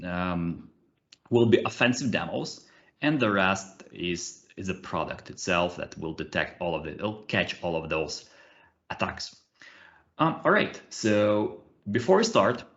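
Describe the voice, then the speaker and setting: A man in his thirties with a Russian accent presents online, his low voice rising and falling in lively swings. He stumbles through fillers and restarts. The call audio sounds dull and muffled. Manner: hesitant, many fillers and restarts, animated. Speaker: conference speaker, ethical hacker. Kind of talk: webinar presentation with live demos. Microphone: computer mic on a video call.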